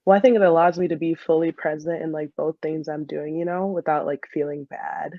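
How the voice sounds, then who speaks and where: A woman's voice: sits low, steady tone, a little fast, dry recording. A woman, chatting casually and informally as an interview guest on a dull recording. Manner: chatty, frequent laughter and filler "like". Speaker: podcast guest, soccer player and dental student.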